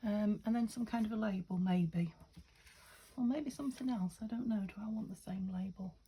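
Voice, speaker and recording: A woman from the UK chatting through a craft-along, thinking aloud and often dropping to a half-whisper, her voice a little croaky. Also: mid-range voice, moderate pace, dark tone, low-quality recording. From United Kingdom. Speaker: craft vlogger. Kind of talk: casual craft-along chat.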